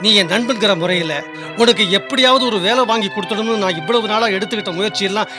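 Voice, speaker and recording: A man's voice: sits high, fast talker, lightly acted. An actor playing a loyal, earnest young working man in a Tamil radio drama. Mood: angry, betrayed. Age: young adult. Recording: music behind the voice.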